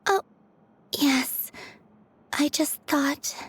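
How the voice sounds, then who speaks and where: A woman voicing a serene, wistful young woman with a gentle, dreamy manner in an anime video game. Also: high-pitched, extremely slow. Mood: mild, absent. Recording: clear music underneath. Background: background music.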